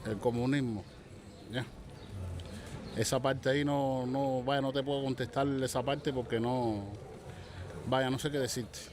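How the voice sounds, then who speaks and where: A man's voice: medium pitch, fairly even intonation, normal speed, pauses often. A man giving informal answers in an interview on the street. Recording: faint outdoor street noise.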